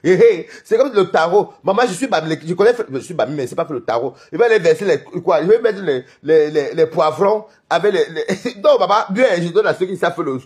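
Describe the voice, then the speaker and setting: A man's voice: fairly high pitch, brisk, hardly any pauses. A man from Cameroon with a Cameroonian French accent, chatting on a live stream in a rambling, gossipy way. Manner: rambling, animated, gossipy, laughs often. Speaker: livestream host. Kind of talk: live-stream chat with viewers.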